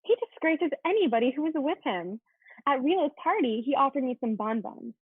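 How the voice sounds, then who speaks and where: A woman's voice: sits high, big pitch swings, normal speed, no room sound. A woman playing an opinionated, scornful teenage schoolgirl in a dramatic reading, on a thin, phone-like recording. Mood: scornful, gossipy. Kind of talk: dramatic reading of a period play. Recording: thin phone-like sound, very dry.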